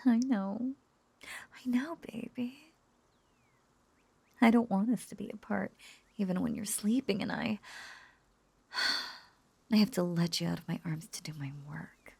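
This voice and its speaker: Breathy obsessive lovesick witch. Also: mid-range voice, measured pace, long pauses, darkish tone, no room sound. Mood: soothing, clingy. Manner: cooing, clingy, breathy, often dropping into whispers. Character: obsessive lovesick young witch doting on her captive beloved. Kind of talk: intimate roleplay audio drama.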